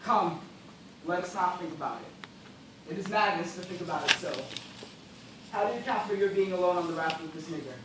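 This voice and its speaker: An anguished gentleman adrift on a raft acted by a man declaims theatrically in a stage play. His voice sits a little high and rings through a very echoey space, with strong noise over the recording. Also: fairly quick, long pauses, close to an everyday voice. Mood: despairing. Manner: declamatory, melodramatic. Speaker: stage actor. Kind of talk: stage play dramatic monologue. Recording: very echoey stage, distant mic, loud noise.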